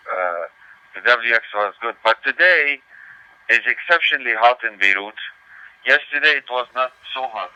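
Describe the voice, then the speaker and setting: Very bright, clear man on ham radio. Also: on the low side, low-quality recording. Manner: spells call signs, repeats confirmations. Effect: voice coming over digital radio. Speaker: amateur radio operator. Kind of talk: ham radio contact, procedural casual chat. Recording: processed digital radio transmission.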